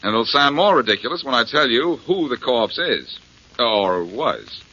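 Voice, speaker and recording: An actor playing a self-assured, wisecracking detective hero in a 1940s radio drama, breezy and dry. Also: male voice, medium pitch, very animated, moderate pace, sharp tone. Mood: wry, teasing. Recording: muffled 1940s radio recording with music.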